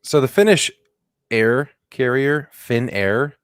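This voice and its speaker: A man's voice: medium pitch, lively intonation, slow, clean tone, no room sound, studio quality. A man co-hosting a comedy podcast, joking and riffing in casual chat, now and then slipping into silly put-on voices. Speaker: podcast co-host.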